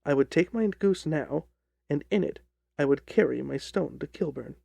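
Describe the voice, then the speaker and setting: Mellow, gravelly male story narrator. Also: a little high, normal speed, dry recording. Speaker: audiobook narrator.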